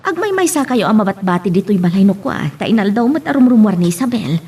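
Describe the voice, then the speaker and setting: Actress as worried older family matriarch, heavily raspy and lively, slightly distant over music. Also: female voice, mid-range voice, fast. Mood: conversational. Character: concerned older mother of the family. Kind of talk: Ilocano audio drama acting.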